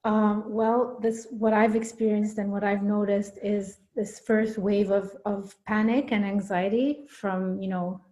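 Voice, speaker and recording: A woman's voice: mid-range voice, flat delivery, a little slow, few pauses, mellow. A woman from Morocco working as a parent coach, speaking thoughtfully in a casual interview with frequent fillers and repeated words.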